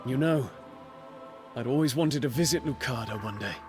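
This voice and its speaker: A man's voice: medium pitch, moderate pace, lightly acted. Cautious fighter sidekick, male actor: mid-range voice, wry, down-to-earth, fantasy game dialogue with music underneath. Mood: wary, wry. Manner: plain-spoken, casual. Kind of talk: fantasy video game voice acting.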